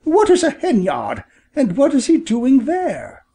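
A man puts on an anxious mother quail from a children's storybook. She sounds high and fretful, full of doubt and questions, with very animated intonation. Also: measured pace, gravelly, slightly rough, heavily acted. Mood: alarmed.

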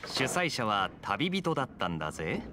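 An actor playing a suave, teasing young cavalry captain in an anime game, casual and easygoing. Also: male voice, mid-range voice, sing-song, normal speed, background noise, close to an everyday voice. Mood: reassuring, teasing. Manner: casual, smooth-talking. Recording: game audio with loud music behind.

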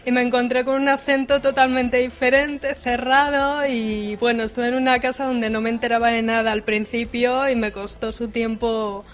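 A woman's voice: on the high side, level tone, normal speed, few pauses. A woman answering casting interview questions with upbeat enthusiasm into a handheld mic in an office hallway. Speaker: casting applicant.

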